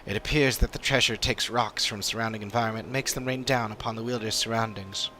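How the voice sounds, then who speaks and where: A man voicing an earnest, formal fantasy adventurer in video game dialogue, with measured and serious delivery. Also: on the low side, fairly even intonation, bright, forceful tone, a little hiss or hum. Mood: serious, thoughtful.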